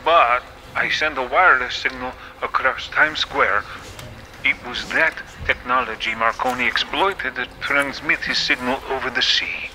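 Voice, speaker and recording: A man's voice: medium pitch, a little slow, sharp tone, slight background noise, low-quality recording. A man playing a proud, defiant inventor under oath in a courtroom audio drama, speaking formally and precisely with indignation. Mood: firm.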